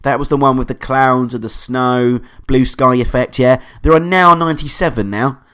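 A man hosting a podcast, hoarse from a cold, chatting in an enthusiastic, rambling way. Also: medium pitch, normal speed, a bit bright. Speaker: podcast host. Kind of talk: casual podcast monologue.

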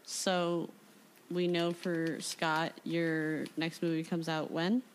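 A woman with a notably low voice hosts an interview. Her delivery is informal and conversational as she talks with her guests. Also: flat delivery, slow, slight background noise. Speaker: interview host. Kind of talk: casual interview chat.

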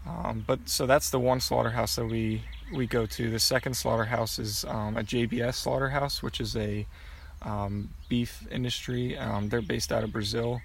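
A young man from Pennsylvania talks through his answers in a casual, meandering way, filling the gaps with ums and starting sentences over. His voice has a gravelly, creaky edge. A faint hiss sits behind him. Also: medium pitch, flat delivery, normal speed. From Pennsylvania, USA. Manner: rambling, many ums and restarts. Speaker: guest.

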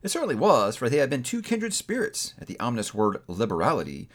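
Man, medium pitch, very animated intonation, audiobook-style narration, theatrical, measured storytelling. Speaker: book reviewer reading aloud.

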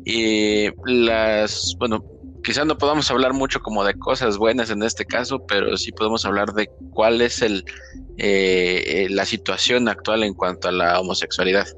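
A man hosting a casual podcast conversation, talking in a halting way with many restarts and fillers. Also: lowish voice, fairly even intonation, fairly bright tone, soft background music. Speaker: podcast host.

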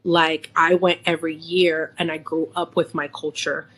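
Female co-host, middle-aged, slightly low voice. Candid, emphatic conversational delivery. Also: level tone, normal speed, fairly bright tone, husky. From San Francisco, United States. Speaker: podcast co-host. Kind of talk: casual conversation with some Spanish mixed in.

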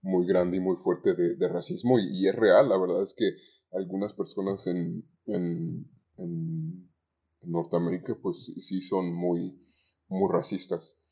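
A low, mellow male voice with a Mexican accent, recorded with slightly dull, lo-fi sound. The young man talks conversationally as an interview guest, feeling his way through sentences and repeating words. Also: flat delivery. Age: young adult. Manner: hesitant, halting phrasing with repeated words. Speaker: podcast guest, call center agent. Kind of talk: conversational interview, recounting work anecdotes.

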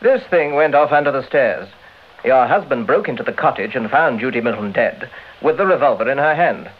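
An actor playing a polite, methodical British police inspector with a hesitant, deferential manner in a vintage radio drama. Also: male voice, mid-range voice, animated, a little fast, natural voice. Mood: matter-of-fact. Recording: thin, narrow, hissy old radio recording.

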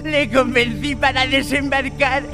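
An actor playing a wary, eager old castaway pirate in a Spanish radio drama, halting and repeating himself, with a Castilian accent. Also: male voice, very high voice, music in the background. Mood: bitter storytelling. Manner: halting, repeats words, excitable.

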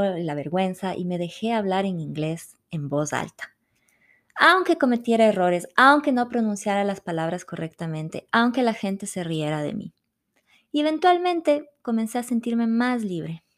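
A middle-aged woman from Ecuador, calmly narrating a scripted personal story as a podcast host. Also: medium pitch.

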